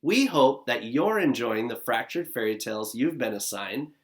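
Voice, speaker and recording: A man in his thirties teaches young kids from home, his voice rising and falling a lot as he explains things with an encouraging, upbeat manner. He sits facing a camera at desk distance in a living room, sounding mid-pitched and friendly in a plain indoor space. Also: expressive, normal speed. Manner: upbeat, encouraging, speaking straight to children. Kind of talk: recorded lesson for young children. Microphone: camera at desk distance, seated facing it.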